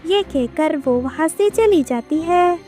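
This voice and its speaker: Female narrator, very high childlike pitch, scripted children's storytelling, music underneath. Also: lively intonation, takes it slowly, dark tone. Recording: music behind the voice.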